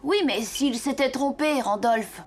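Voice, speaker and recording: High-voiced earnest orphan boy. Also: a little slow, slight background noise. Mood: doubtful. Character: earnest, self-doubting orphan boy, apprentice Father Christmas. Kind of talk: French children's cartoon dubbing. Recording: music behind the voice.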